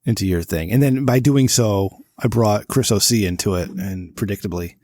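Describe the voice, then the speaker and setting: A man's voice: fairly low pitch, moderate pace, mellow, no room sound, studio quality. A man co-hosting a podcast in casual chat, laid-back and hedging with fillers, laughing often. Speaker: podcast co-host.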